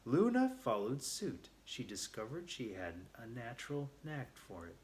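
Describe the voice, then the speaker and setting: A man telling a children's story aloud, reading a little haltingly and repeating words as he goes. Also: mid-range voice, wildly swooping pitch, darkish tone.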